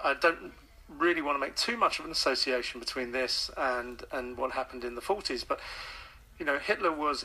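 Male author guest, mid-pitched and bright, hesitant, thoughtful, repeats words. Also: slightly rough, low-quality recording. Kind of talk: radio interview.

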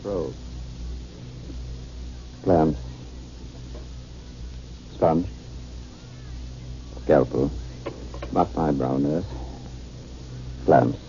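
An American actor playing a grave, authoritative surgeon in a 1950s radio drama, speaking in a terse, controlled way. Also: male voice, extremely low pitch, drawn-out words, vocal fry, long pauses, warm tone, full character voice. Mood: focused, terse. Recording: muffled old 1950s radio recording, faint noise.

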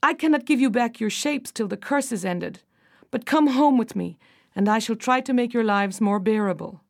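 A woman voicing a grieving, wrathful king in a storybook tale, speaking loud and commanding. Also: fairly high pitch, moderate pace, creaky. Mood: tender.

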